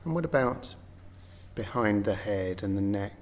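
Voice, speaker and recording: A man with a fairly low voice guides a relaxation practice. He speaks very slowly and gently, leaving generous space between short phrases as he gives unhurried meditation instruction. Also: animated. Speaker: yoga nidra meditation guide.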